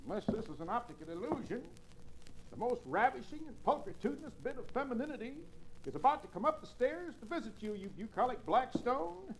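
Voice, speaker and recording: An actor playing a pompous, blustery, hard-drinking cowboy sidekick in florid words for an old Western radio drama. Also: male voice, extremely high pitch, big pitch swings, brisk, noisy background, heavily acted. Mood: awestruck.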